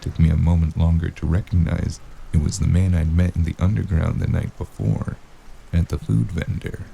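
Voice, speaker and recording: A man playing a weary, sardonic investigator in a scripted cyberpunk noir audio drama, telling his story in a gravelly, cursing voice. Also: sits low, level tone, normal speed, mellow, a little hiss or hum, low-quality recording. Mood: tense, weary. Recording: music bed behind the voice.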